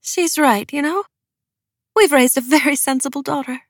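A gentle middle-aged mother , put on by a woman narrator in an audiobook. She speaks in a raised, lilting pitch, soft and earnest, with a dry close sound. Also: moderate pace, no echo. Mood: approving.

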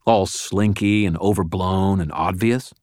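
A man's voice: deep voice, a little slow, darkish tone, no echo, clean studio sound. A man voicing a sardonic, wisecracking wizard in an audiobook, with mock cheer and defiance.

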